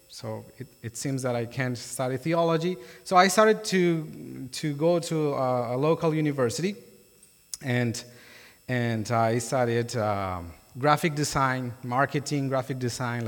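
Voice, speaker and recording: A young Colombian man tells his life story from a church pulpit, his English carrying a Spanish accent. He talks in a loose, halting way, full of fillers and restarts. His voice sits at an ordinary pitch and rings out with a long reverb across the sanctuary. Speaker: guest preacher. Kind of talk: sermon testimony.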